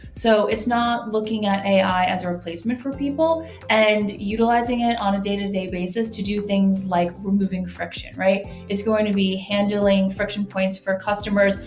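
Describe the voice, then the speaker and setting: A woman's voice: mid-range voice, a little mellow. A woman guest giving conversational business explanations in an interview, often tagging phrases with "like" and "right?". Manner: conversational, frequent "like" and "right?" tags. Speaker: guest, marketing executive. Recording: roomy, echoey sound.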